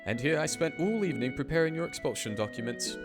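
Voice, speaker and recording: A man's voice: a little high, animated, fast, loud music behind the voice, close to an everyday voice. Man playing a pompous, theatrical headmaster. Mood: stern, formal. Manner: grandiose, formal, melodramatic. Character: pompous, theatrical, self-congratulating male headmaster of a magic school. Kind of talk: anime fandub voice acting.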